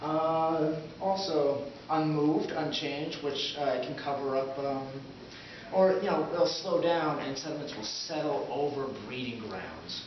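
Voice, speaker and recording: Man fumbling through an explainer, heavy echo. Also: medium pitch. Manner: halting, fumbling, vague. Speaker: presenter. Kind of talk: amateur explainer, loosely scripted presentation. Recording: echoey, muffled, noisy, distant camera mic.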